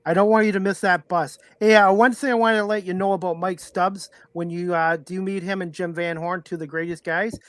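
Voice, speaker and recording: A man's voice: high-pitched, steady tone, fairly quick, few pauses. A man hosting a podcast, asking questions in a casual, informal and slightly hesitant way. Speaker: podcast host. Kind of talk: casual interview chat.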